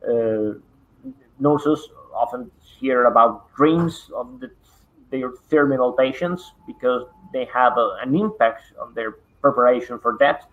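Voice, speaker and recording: A man whose first language is Spanish gives an online conference lecture in English. He hesitates often, filling pauses and repeating words. His voice sits at an ordinary pitch, and the call audio is a little dull. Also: big pitch swings. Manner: halting, many fillers and repeated words. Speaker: conference presenter.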